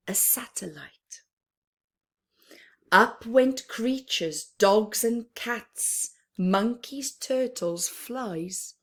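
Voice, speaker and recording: A woman reading a picture book aloud for young children, expressively and with chatty asides, pausing between phrases and often dropping to a hushed tone. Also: on the high side. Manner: deliberate phrasing with pauses, often dropping to a hushed near-whisper.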